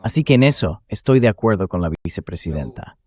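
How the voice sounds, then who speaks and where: Synthetic male narrator for translated political commentary, fairly low register. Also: big pitch swings, fast talker, a little mellow.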